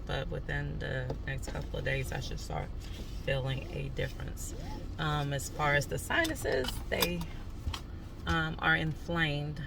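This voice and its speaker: A woman with a raspy voice chatting casually in a home vlog, full of ums and restarts, with her throat a little sore. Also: low-pitched, distant mic, background noise. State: throat trouble, clears throat. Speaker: vlogger.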